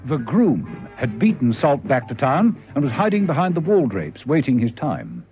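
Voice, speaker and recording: Animated male radio narrator, muffled recording. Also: medium pitch, moderate pace, vocal fry, faint music behind. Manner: wry, dramatic storytelling. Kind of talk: scripted radio drama narration. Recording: noisy, muffled old radio drama recording.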